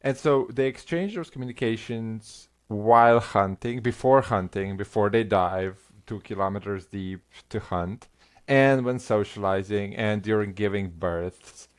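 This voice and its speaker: A middle-aged man with a Slovenian first language, a linguistics professor talking as a guest in an interview, halting with many restarts and fillers. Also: fairly low pitch. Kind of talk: conversational interview.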